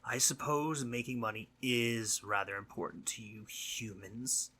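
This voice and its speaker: A man playing a mischievous but concerned sleep demon in a roleplay audio monologue, husky and conversational, earnest and teasing, sometimes dropping to breathy near-whispers. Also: mid-range voice, unhurried, pushed and bright, a little hiss or hum. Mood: earnest, frustrated.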